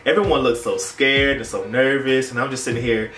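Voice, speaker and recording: A man's voice: mid-range voice, a little fast, slight background noise. Young man storytelling in an echoey room. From United States. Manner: animated, playful, dramatic storytelling with slangy phrasing. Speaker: YouTube vlogger. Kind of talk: casual storytime vlog. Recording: roomy echo, distant mic, music behind.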